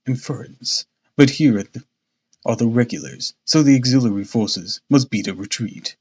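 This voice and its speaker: A man voicing a cool, analytical gentleman detective who speaks quietly and dryly, lecturing. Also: mid-range voice, normal speed, husky, muffled recording, natural voice.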